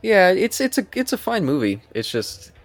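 A man's voice: sits high, wildly swooping pitch, moderate pace, no echo, faint music behind. A man chatting casually about films as a podcast co-host, with a hesitant, rambling delivery.

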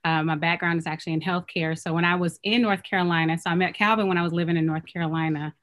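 A woman in her thirties from Oakland, a clothing business owner, talking casually and softly in an interview. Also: on the low side, steady tone, quick pace. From Oakland, California.

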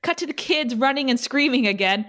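A woman's voice: high-pitched, expressive, fairly quick, fairly bright tone. A woman narrating stage directions for a scripted table read.

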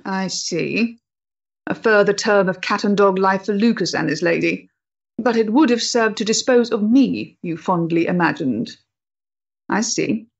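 An actress playing a proud, intense woman rebel of the Victorian era, measured, grave and dramatic, in a period stage play. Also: mid-range voice, vocal fry, muffled recording. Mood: cool, knowing.

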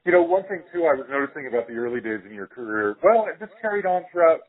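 Male podcast interviewer, slightly high husky voice, informal and rambling, thin phone-like sound. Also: expressive, fast. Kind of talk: casual conversational interview. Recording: tinny band-limited audio.

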